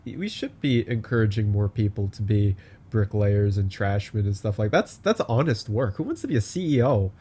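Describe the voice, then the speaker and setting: A man's voice: lowish voice, expressive, normal speed, mellow, a little hiss or hum. A man co-hosting a casual podcast, stammering and repeating words as he talks. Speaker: podcast co-host. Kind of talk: casual political chat.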